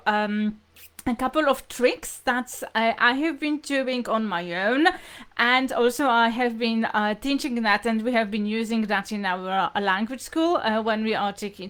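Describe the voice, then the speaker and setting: A woman's voice: a little high, unhurried, a bit bright, clear, resonant tone. A woman hosting an informal live lesson, explaining things to viewers in a hesitant, repetitive way. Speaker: language teacher hosting livestream lesson.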